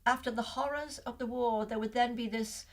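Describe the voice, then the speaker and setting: Older woman, Liverpool accent, reflective and chatty, higher voice. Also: steady tone, normal speed. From Liverpool, England. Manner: reflective, chatty, many restarts. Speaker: guest. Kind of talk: casual interview.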